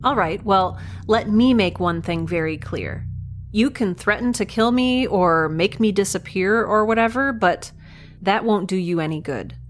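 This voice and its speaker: A woman playing a defiant, quick-witted young researcher who is nauseated and concussed and talks back sardonically. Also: mid-range voice, slight background noise, lightly acted. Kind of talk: audio drama acting.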